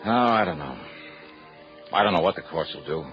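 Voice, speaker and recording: A brisk hard-boiled investigator. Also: male voice, low-pitched, animated, lo-fi sound, over music. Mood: narrating. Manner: brisk, clipped and insistent. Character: tough male insurance investigator. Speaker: insurance investigator and narrator. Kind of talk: 1950s radio drama acting. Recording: hissy old radio broadcast.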